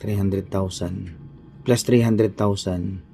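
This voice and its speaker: A man's voice: low-pitched, steady tone, takes it slowly, mellow, faint music behind. A man with a Filipino accent teaching an informal accounting lesson, thinking aloud step by step and repeating himself as he works through an example.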